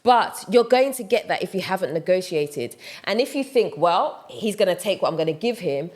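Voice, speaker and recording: A woman's voice: on the low side, expressive, normal speed, a little mellow. A woman talking straight to camera in a casual advice monologue, direct and emphatic, repeating her points for stress. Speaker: vlogger giving advice.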